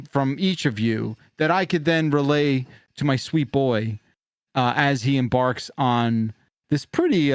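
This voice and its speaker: A middle-aged man hosting a call-in podcast in casual chat, often halting and restarting his sentences. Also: medium pitch, no echo, clean studio sound. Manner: rambling, halting, self-interrupting. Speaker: podcast host of a call-in show.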